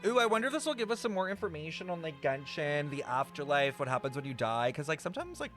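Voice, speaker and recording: A young man streaming casual, playful game reactions, excitable and slangy with plenty of swearing. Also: fairly high pitch, fast. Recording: game music behind.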